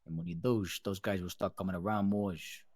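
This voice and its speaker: A deep, closely recorded, dry male voice, acted by a roleplayer, as a mob associate in a crime game. He talks with self-important formality, like a man who handles business. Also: fairly even intonation, fast, a little mellow, no echo. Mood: measured, advising. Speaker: roleplay player. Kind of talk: improvised in-character crime roleplay.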